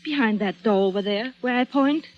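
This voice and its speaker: Nervy young Frenchwoman played by an actress, high voice, French accent, broken English, radio mystery, dull vintage recording. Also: normal speed, faint music behind, lightly acted. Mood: anxious. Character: excitable, nervous young French woman, Fifi. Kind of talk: old-time radio mystery acting.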